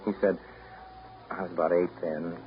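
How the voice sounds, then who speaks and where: Actor as reserved brooding man, deep low pitch, reflective, measured, old radio drama, noisy recording. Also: level tone, close to an everyday voice. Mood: brooding reminiscence. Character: reserved, brooding New England man telling family memories. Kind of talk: 1930s radio drama acting and narration. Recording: muffled, hissy 1930s radio recording.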